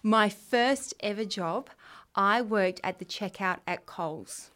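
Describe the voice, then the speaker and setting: A woman's voice: mid-range voice, slow pace. An Australian woman in her forties, a school leader answering students' interview questions in an earnest, chatty way. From Western Australia. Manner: warm, earnest, chatty with fillers. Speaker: school head of junior years.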